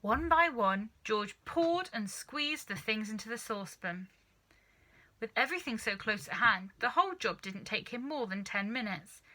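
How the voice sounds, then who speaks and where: Woman reading a kids story out loud, expressive, highish and really bright sharp voice. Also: normal speed, low-quality recording. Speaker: storybook reader. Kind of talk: scripted children's story narration.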